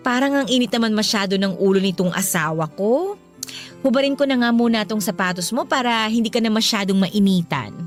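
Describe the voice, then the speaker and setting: Woman acting a pushy flirty filipino wife, touchy, highish voice, radio drama w faint music. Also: normal speed, close to an everyday voice. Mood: sweet, teasing.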